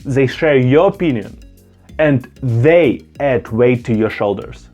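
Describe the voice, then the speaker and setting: A man's voice: medium pitch, expressive, slow pace, a little mellow, lo-fi sound, faint music behind. A man, a non-native English speaker, vlogging to camera indoors in an earnest, emphatic way, repeating phrases for stress. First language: not German; Russian-speaking background. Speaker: YouTuber. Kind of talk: direct-to-camera opinion vlog.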